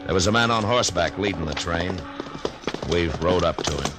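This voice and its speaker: An actor playing a calm, plainspoken frontier marshal who also narrates, in a 1950s radio drama. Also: male voice, low voice, brisk, over loud music, close to an everyday voice. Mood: narrating. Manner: laconic, drawling, understated. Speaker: narrator and lead character.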